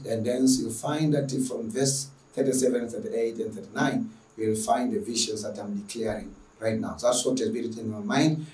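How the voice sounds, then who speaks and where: A man's voice: lowish voice, dark tone. Tanzanian guy doing an online lecture intro, earnest and emphatic, mic kinda far away in an echoey room. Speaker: online mathematics teacher. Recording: echoey room, distant microphone.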